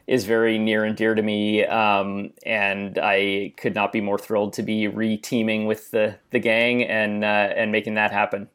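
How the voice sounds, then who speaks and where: A man's voice: fairly low pitch, completely flat delivery, moderate pace, hardly any pauses, a bit bright, clean tone. A man who is a film director, a guest on a podcast, speaking earnestly and hesitantly with many ums. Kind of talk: casual podcast interview.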